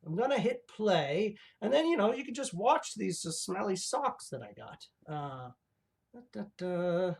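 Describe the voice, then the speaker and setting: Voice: mid-range voice, big pitch swings, measured pace, gravelly. A performer voicing a cranky, self-important creature who poses as a professor and hosts a comedy show in a hesitant, stammering ramble. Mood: grumbling, distracted. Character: cranky fake-professor creature puppet host with claws, rambling, grandiose. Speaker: show host. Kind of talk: improvised in-character comedy monologue.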